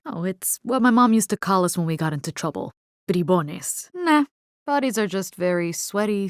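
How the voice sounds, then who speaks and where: A tough, wisecracking young woman from a vampire-fighting video game, performed by an actress. Her voice sits at a medium pitch. She is brash and teasing, peppering her lines with slang, in a very dry studio sound. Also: dry recording, close to an everyday voice.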